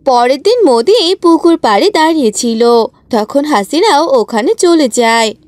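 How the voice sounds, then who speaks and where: A woman's voice: high-pitched, animated, unhurried, a little mellow, dry recording, soft background music. A woman giving scripted narration for a cartoon story.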